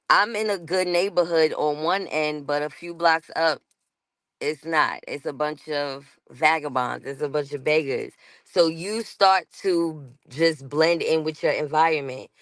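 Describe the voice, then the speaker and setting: A woman's voice: deep voice, a bit bright, no room sound. A woman with a New York accent talking casually as a guest on a panel show, laughing often and restarting her sentences. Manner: chatty, laughs often, self-interrupting.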